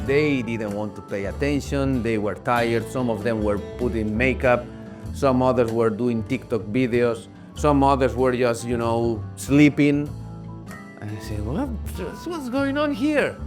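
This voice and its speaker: Lively talkative Spanish-accented man. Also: medium pitch. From Spain. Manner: animated, talkative, acts out quoted voices. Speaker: vlogger. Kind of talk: casual storytelling vlog.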